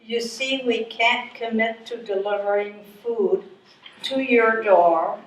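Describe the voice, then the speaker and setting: A woman's voice: a little high, slow pace. A woman playing a polite, apologetic but unhelpful soup charity worker in a readers theatre performance. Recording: echoey distant stage mic, background noise.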